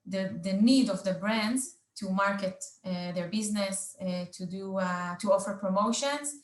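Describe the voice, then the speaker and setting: A woman hosting a business roundtable, speaking off the cuff and haltingly, with very frequent filled pauses. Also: mid-range voice, reverberant. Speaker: roundtable host. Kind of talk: unscripted business presentation in a panel discussion.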